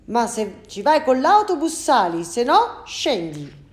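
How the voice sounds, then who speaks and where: A woman voicing an impatient, angry male bus driver who speaks slowly and loudly in a storybook. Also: on the high side, very animated, pitched above the performer's own voice. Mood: exasperated. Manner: slow, loud and exasperated. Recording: roomy echo.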